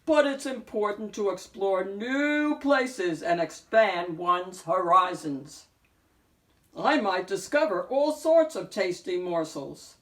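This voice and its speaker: A woman's voice: medium pitch, lively intonation, slow, fairly bright tone. A woman voicing a lean, mangy old male wolf from a picture book, pompous and hungry, with grandiose, emphatic speech. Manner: grandiose, emphatic, genteel wording.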